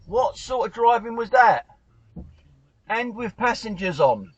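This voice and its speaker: A man's voice: high voice, very animated, unhurried, dull audio. A British man with a stuffy nose from a cold, reacting in exasperated outbursts at other drivers from inside his car. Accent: British English.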